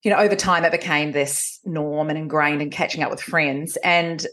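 A New Zealand woman in her forties talks as a podcast guest, with a slightly low-pitched voice. She tells personal stories in a chatty, animated, conversational way. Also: normal speed. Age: 40s. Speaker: podcast guest, recovery coach.

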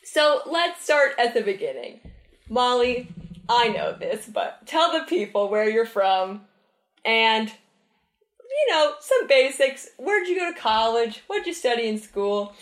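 An enthusiastic young woman hosting a podcast, giggly and gushing. Her voice is high and swings lively up and down, and it rings in an echoey room. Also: takes it slowly. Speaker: podcast host. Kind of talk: casual interview.

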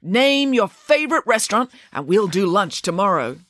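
A woman's voice: mid-range voice, big pitch swings, normal speed, a bit bright, no echo, clean studio sound, pitched above the performer's own voice. A woman voicing a brash, persuasive male casting agent in a children's story, with a pushy salesman's manner.

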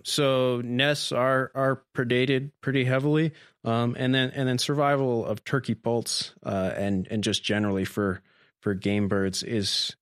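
A young man, a researcher being interviewed, explaining things informally in a hesitant, careful, measured way. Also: medium pitch, smooth, no echo, studio quality. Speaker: graduate student researcher, interview guest.

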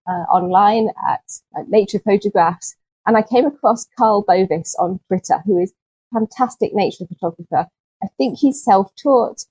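Soft-spoken English woman, mellow husky tone. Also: mid-range voice, no room sound, low-quality recording. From UK, not Scotland. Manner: gentle, unhurried, with frequent restarts and soft laughs. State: chronically ill, speaks with low energy. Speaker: podcast guest, nature photographer. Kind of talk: conversational interview answers.

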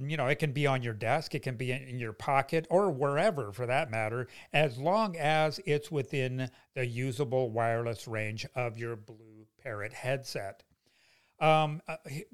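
A middle-aged American man speaks as a podcast host at a medium pitch. His delivery is conversational and explanatory, with a folksy sales touch, as if walking a customer through a product. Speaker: podcast host, company founder.

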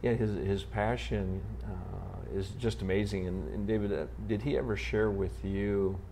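A man hosting an interview, asking questions in an admiring, measured way with hesitant fillers. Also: lowish voice, fairly even intonation, vocal fry, dark tone, a little hiss or hum. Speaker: host.

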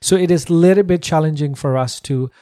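A man from Nepal with a Nepali accent, a ministry leader answering interview questions earnestly, with frequent pauses and restarts. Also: on the high side, warm tone, no echo, studio quality.

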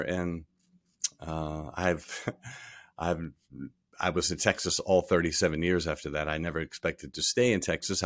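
A man in his sixties from Rhode Island, a podcast guest recalling his career in a relaxed, storytelling way, often trailing off softly at the ends of phrases. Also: extremely low pitch, dry recording, clean studio sound. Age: 60s. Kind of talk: casual interview.

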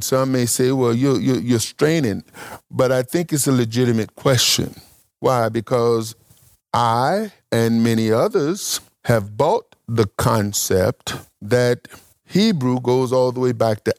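A male preacher working through a Bible question in front of his listeners, speaking in a slow, unhurried way. He stops often and restarts in short phrases, as if thinking aloud. The sound is close and dry, with no room around it. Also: medium pitch, darkish tone, no echo. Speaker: preacher teaching a congregation. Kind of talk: Bible teaching talk.

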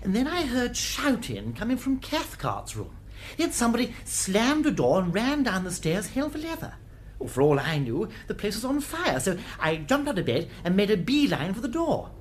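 An actor playing an affable, flippant upper-class English gentleman in a British radio drama, breezy and clipped. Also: high-pitched, huge pitch swings, moderate pace, slight background noise. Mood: breezy, flustered.

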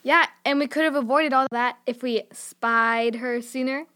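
A woman's voice: high voice, steady tone, unhurried, bright, forceful tone, clean tone. A woman playing a plucky, teasing school-age girl in a children's adventure audio drama, confident and joking.

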